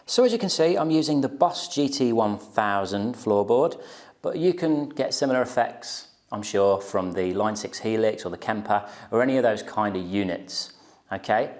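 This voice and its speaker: Informal man teaching guitar, medium pitch. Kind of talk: instructional tutorial talk to camera. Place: small plain indoor room. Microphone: lapel mic.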